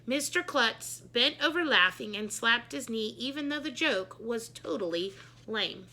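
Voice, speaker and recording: A woman's voice: fairly high pitch, expressive, measured pace, bright, forceful tone, slight background noise, low-quality recording. A woman reading a children's book as a wisecracking schoolboy who hates school. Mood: mocking. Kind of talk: children's book read-aloud.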